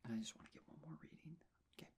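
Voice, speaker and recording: A man softly whispering an ASMR medical roleplay as a nurse, with a breathy, gentle, reassuring delivery. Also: rapid-fire. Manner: gentle, reassuring, personal attention.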